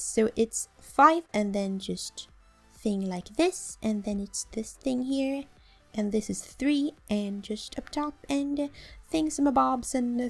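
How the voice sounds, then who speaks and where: Chatty Swedish woman with a sore throat. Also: fairly high pitch. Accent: southern Swedish. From southern Sweden. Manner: chatty and playful, giggles between phrases. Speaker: gaming channel host. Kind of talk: casual let's-play commentary.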